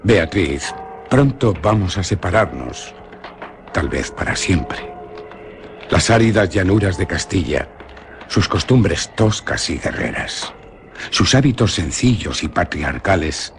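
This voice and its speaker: A young Spanish nobleman from a radio play, brooding and earnest, with a very deep voice that rises and falls. He talks in a hushed, solemn way. A steady hiss sits under the old radio recording. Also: slow, exaggerated voice. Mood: tender. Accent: Spain Spanish. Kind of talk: 1950s-style radio drama acting.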